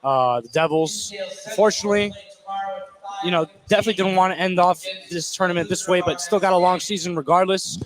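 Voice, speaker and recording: A man with a slightly high voice adds casual color commentary to a live game. A clearly audible gym crowd is behind him. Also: fairly quick, low-quality recording. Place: indoor high school gym during a game.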